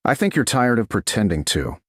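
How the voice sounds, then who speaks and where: A man voicing a steady, intense medieval duke in a romance audiobook, terse and controlled. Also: medium pitch, moderate pace, dry recording, clean studio sound. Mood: calm, certain.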